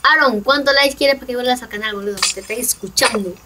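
A woman's voice: a little high, quick pace. A young woman from Peru chatting and teasing casually while playing a game with a friend. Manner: playful, teasing, laughs a lot. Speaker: gaming channel host. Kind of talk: casual gameplay chat. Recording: noisy mic, voice from far.

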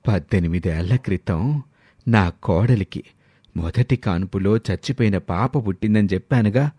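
A man voicing an elated older grandfather character in a dramatised story, speaking slowly and emphatically in a confiding way. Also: on the low side, warm tone, husky, dry recording, clean studio sound, pitched below the performer's own voice.

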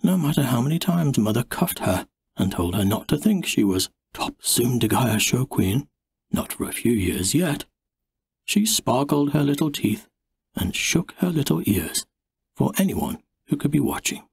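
A man narrating an audiobook in an expressive, dramatic storybook style. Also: mid-range voice, moderate pace, vocal fry, breathy edge, dry recording.

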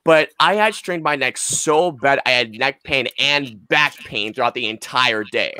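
A man's voice: medium pitch, a little slow, bright, forceful tone, clear, resonant tone. A man in his twenties chatting casually and telling stories in an emphatic, rambling, sweary way, close on a desk mic. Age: 20s. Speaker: podcast co-host. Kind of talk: casual chat, storytelling. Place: small room at home. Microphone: close desk mic on a boom arm.

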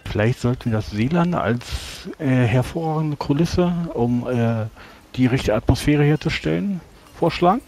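A man roleplaying a courtly, self-important magister adventurer in a tabletop game, speaking formally and wordily with halting pauses. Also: medium pitch, animated, a little mellow, slight background noise, close to an everyday voice. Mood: scheming. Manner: formal, verbose, halting delivery.

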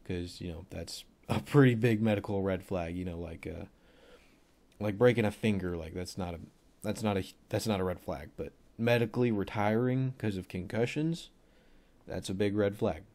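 A young man with a cold and a voice deeper than usual, casually rambling through a football film breakdown with plenty of fillers. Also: moderate pace, a little mellow. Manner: rambling, offhand, thinks aloud with many fillers.

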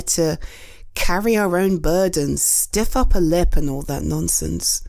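A gentle British woman friend, played by an audio roleplay actress offers comfort in an intimate, soothing way. She speaks slowly at a middling pitch, with hesitant, reassuring pauses and a caring temper. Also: gravelly, no echo.

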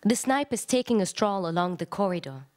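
Woman, actor, for a dramatic literary reading on stage; medium pitch, expressive, shifts from chatty to declamatory. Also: brisk.

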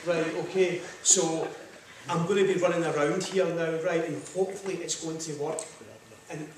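A man's voice: fairly high pitch, steady tone, moderate pace, slight background noise. A man with a Scottish accent giving a public lecture, chatty and jokey, often saying "right, okay.". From Scotland. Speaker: guest lecturer. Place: large lecture hall, standing at podium. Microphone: far camera. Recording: echoey hall from far off.

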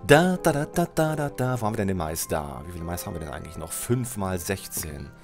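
A middle-aged man with a cold, his voice lower than usual, commenting casually on a building game while he plays, thinking aloud and correcting himself. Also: animated, fairly quick, soft background music. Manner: chatty, thinks aloud, self-correcting, addresses viewer. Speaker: Let's Play host. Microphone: headset mic at desk, webcam.

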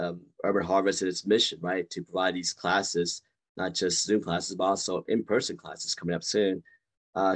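A man in his forties, born in Vietnam, answering audience questions on a video call from a room at home, hesitant with many fillers and restarts. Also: deep voice. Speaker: guest speaker. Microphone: video call webcam.

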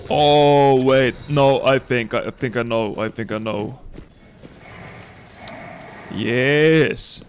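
Excitable young man commenting on gameplay. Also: medium pitch, extremely slow, pauses often, a little hiss or hum. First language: not English. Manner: excitable, swears, talks to viewers. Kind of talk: casual let's play commentary.

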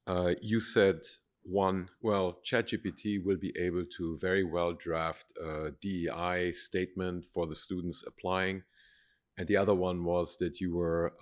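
A man with a very low voice comments from the audience of a lecture hall. He is a physicist speaking through a handheld mic, hesitant and full of "uh". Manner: hesitant, frequent "uh". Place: lecture hall seating, standing.

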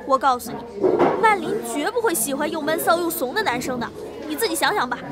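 A young woman playing a lively, bossy, teasing high school girl in an amateur audio drama. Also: quick pace, a loud crowd. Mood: teasing, pushy.

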